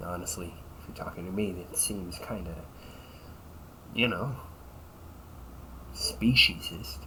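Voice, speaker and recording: A man's voice: deep voice, measured pace, sharp tone, a little hiss or hum, full character voice, poor audio. A man playing a cynical, teasing vampire cop in an audio roleplay, talking in a slangy, sarcastic and flippant way. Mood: exasperated, sarcastic. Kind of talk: audio roleplay, casual conversational acting.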